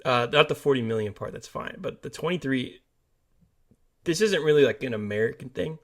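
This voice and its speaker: A man's voice: medium pitch, expressive, a little fast, a bit bright. A man co-hosting a podcast, talking casually in a dry, skeptical and pessimistic way. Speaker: podcast co-host. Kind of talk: casual movie discussion.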